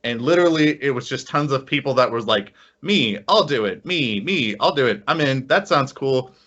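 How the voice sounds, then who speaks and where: A man with a bright, forceful voice chats as a podcast co-host. He is excitable and casual, often tripping over and repeating his words. The recording is a bit lo-fi. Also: medium pitch, moderate pace. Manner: excitable, stammering with frequent repeats. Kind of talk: casual enthusiastic chat.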